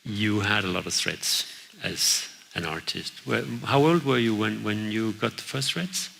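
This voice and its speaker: A man's voice: on the low side, unhurried, gravelly, bright, forceful tone. A man interviewing a guest on stage, asking long winding questions with hesitant fillers. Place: event venue. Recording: faint hiss.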